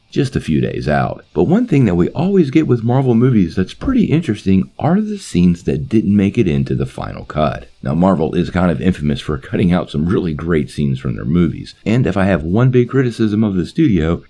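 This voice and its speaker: Deep-voiced, gravelly man. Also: warm tone. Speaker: host of a comic book movie channel. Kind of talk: video commentary to viewers.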